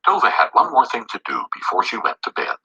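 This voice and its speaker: A man reads a children's story with animated intonation. His voice is sharply bright and somewhat low, with a husky grain. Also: fast, highs cut off. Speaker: narrator.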